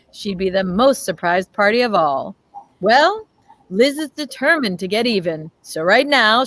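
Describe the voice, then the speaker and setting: A woman's voice: on the high side, a bit bright. A woman narrating in a playful old-time radio announcer style.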